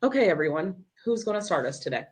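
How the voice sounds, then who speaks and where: A woman playing a patient but firm group counselor in a dramatic table read, measured and placating. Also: lowish voice, big pitch swings, breathy edge, full character voice.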